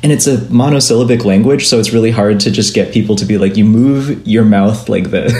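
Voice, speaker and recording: A man's voice: on the low side, fairly quick, a little mellow, echoey room. A man, a comic book artist, answering interview questions thoughtfully on a call, with light laughs. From Philippines-born, raised in Minnesota. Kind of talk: remote interview conversation. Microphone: remote call.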